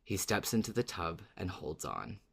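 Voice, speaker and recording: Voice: low voice, big pitch swings, moderate pace, vocal fry, fairly bright tone, breathy edge. A podcast co-host chatting casually in a fan recap, effusive and dramatic, sometimes feeling out a thought mid-sentence.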